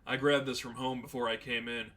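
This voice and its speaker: A man's voice: medium pitch, little pitch movement, brisk, fairly bright tone, natural voice. A man playing a sardonic, unflappable veteran demon hunter in a story reading, matter-of-fact and flippant. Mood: unamused, sardonic. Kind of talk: dramatized fan fiction reading.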